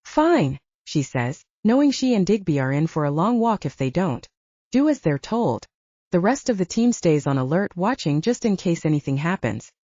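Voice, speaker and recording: Mellow, animated woman audiobook narrator. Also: fairly low pitch, normal speed, no echo, muffled recording. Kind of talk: scripted dramatic fiction narration.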